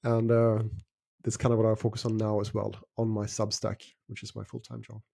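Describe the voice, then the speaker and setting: A middle-aged man from Sweden, answering interview questions in a measured way with frequent fillers and restarts. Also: lowish voice, monotone, gravelly, warm tone, no echo, studio quality. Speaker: guest investor.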